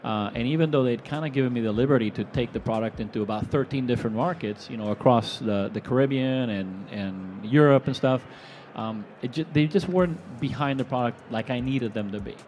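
A man's voice: medium pitch, brisk, few pauses, slight background noise. A man in his forties from Venezuela, a guest telling his story in a casual, rambling way on a live expo stage. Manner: rambling, animated, acts out quoted voices. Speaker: guest, product manufacturer.

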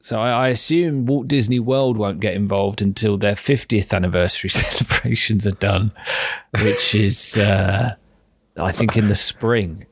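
Man, husky, low-pitched, chatty and wry, podcast co-host. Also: steady tone, a little slow, no echo. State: husky from illness. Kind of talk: casual podcast chat.

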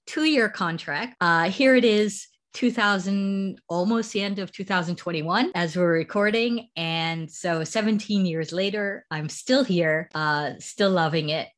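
Middle-aged American businesswoman, lower register, measured, self-correcting interview guest. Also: a bit bright. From United States. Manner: thoughtful, with many ums and restarts. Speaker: startup founder, guest. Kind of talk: conversational interview answers.

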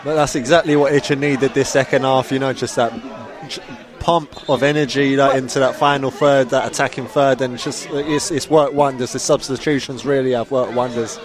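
A man's voice: mid-range voice, fairly even intonation, normal speed. A man adding casual, partisan co-commentary at a football match outdoors. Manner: chatty, partisan, casual slang. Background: crowd noise.

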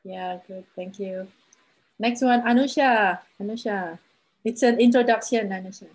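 A woman's voice: mid-range voice, darkish tone, poor audio. A woman hosting an online meetup, calling on attendees one by one for introductions over a video call. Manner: unhurried, calls names one by one. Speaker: meeting host.

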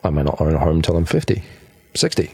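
A man's voice: extremely low pitch, big pitch swings, quick pace, creaky, mellow, slightly rough, no room sound, studio quality. A forty-year-old man, a podcast guest speaking frankly and reflectively. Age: 40s. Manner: frank, reflective, blunt wording. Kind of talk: casual podcast chat.

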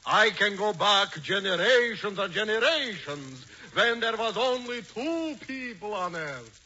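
An actor playing a wily, fawning professor of genealogy with a theatrical, oily manner in a 1940s radio comedy. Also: male voice, high voice, animated, unhurried, bright, forceful tone, slightly rough, exaggerated voice. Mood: grandly welcoming. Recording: noisy, muffled 1940s radio recording.

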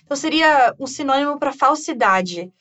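Bright-voiced Brazilian woman teacher. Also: sits high, normal speed. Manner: lively, chatty, laughs often. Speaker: English teacher, host. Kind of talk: casual bilingual chat.

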